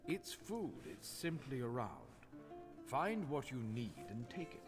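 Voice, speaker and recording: An actor playing an instructive, plain-spoken cooking mentor in a fantasy video game. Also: male voice, mid-range voice, very animated, slow, in a large hall, over music. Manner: instructive, matter-of-fact. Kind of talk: video game character acting.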